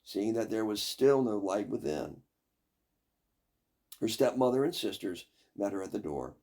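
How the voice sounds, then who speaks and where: Slow, husky older male storyteller. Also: lowish voice, expressive, a little mellow. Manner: dramatic, deliberate. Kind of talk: expressive scripted fairy-tale narration.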